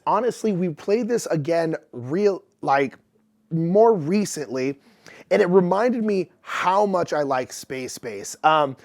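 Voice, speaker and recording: A man in his thirties hosting a board game channel, talking casually to camera in an indoor room, his voice a little rough as he gets over being sick. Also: a little high. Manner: chatty and animated, gesturing with hands. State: recovering from illness, voice a bit rough. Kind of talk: casual explanatory talk to camera.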